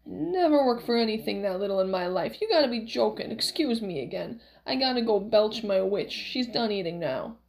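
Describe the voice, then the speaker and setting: A woman's voice: mid-range voice, big pitch swings, brisk, creaky, few pauses, darkish tone, rough recording. A woman voicing a cranky, stubborn old man who haggles and grumbles in an audiobook story, brusque and colloquial. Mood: irritable, blustering.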